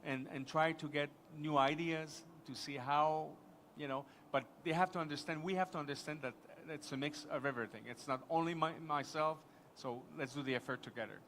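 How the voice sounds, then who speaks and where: Halting man talking business on a panel. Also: a little high, steady tone, a bit bright, slight background noise. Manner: halting, many restarts and fillers. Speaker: airport executive on a panel. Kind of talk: live conference panel talk.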